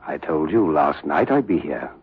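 An actor playing a menacing phantom accuser in 1960s radio horror, speaking in a deliberate, taunting manner. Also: male voice, a bass voice, expressive, dry recording, full character voice. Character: menacing male phantom accuser haunting a guilty man. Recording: muffled, husky-edged old radio recording.

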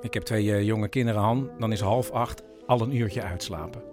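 An actor playing a dry, teasing grown son in a naturalistic radio drama, wryly echoing what others say. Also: male voice, on the low side, normal speed, music in the background, lightly acted. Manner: wry, mock-echoing others' words.

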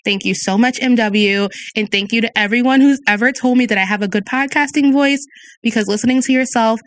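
A woman's voice: fairly high pitch, a little fast, no room sound. A woman hosting her own podcast in a friendly, chatty way, with a soothing voice and a slight speech impediment. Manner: friendly, conversational, reads listener reviews aloud. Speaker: podcast host. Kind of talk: solo podcast episode, chatty.

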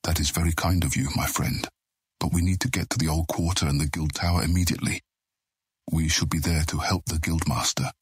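A man playing a grave, authoritative guild deputy in an audiobook drama, with a deep, husky voice and measured, formal speech. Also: steady tone, dry recording, clean studio sound, full character voice. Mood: firm.

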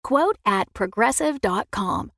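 A woman's voice: mid-range voice, lively intonation, slow, vocal fry, fairly bright tone, no echo, studio quality. A woman announcer reading a scripted sponsor advertisement with legal small print. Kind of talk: scripted ad read.

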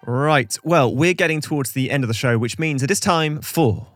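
A man's voice: fairly high pitch, normal speed, no room sound, clean studio sound, soft background music. A man co-hosting a podcast, reading scripted segments in a conversational way with wry, chatty asides. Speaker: podcast co-host.

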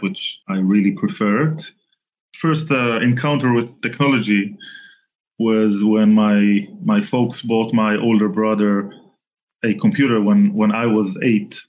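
A man in his forties from Israel, a company founder talking as a podcast guest, halting with many restarts and fillers. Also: lowish voice, dull audio. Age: 40s. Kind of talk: casual interview talk.

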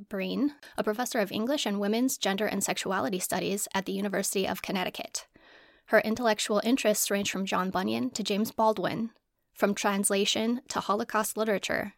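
A woman's voice: mid-range voice, gravelly, no room sound, clean studio sound. A woman co-hosting an academic podcast, giving scripted introductions.

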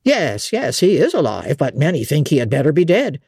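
A woman doing a gruff old country servant. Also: deep voice, big pitch swings, normal speed, a little mellow, no echo, clean studio sound, voice pushed down, heavily acted. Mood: grave. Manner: dramatic country storyteller, old-fashioned dialect. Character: old rustic male servant, gossipy and grim. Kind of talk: dramatic audiobook reading.

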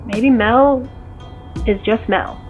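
A woman playing a skeptical, reasonable confidante in an audio drama, measured and wry, heard through a phone filter. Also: fairly high pitch. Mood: reasoning. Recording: muffled phone-like line, music behind.

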